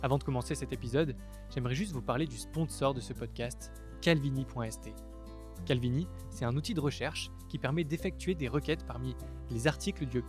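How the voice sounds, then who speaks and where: A man's voice: medium pitch, darkish tone. A young man, a student, hosting a theology podcast, reading a sponsor segment and introducing guests in a friendly way. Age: young adult. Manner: friendly, scripted intro, clear. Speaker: podcast host.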